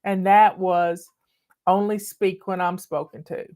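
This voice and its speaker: A woman's voice: on the low side, flat delivery, unhurried, darkish tone. Older Southern woman, host of her own channel; folksy, chatty and a bit bossy, in a casual storytelling voice. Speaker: online host. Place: home in the mountains.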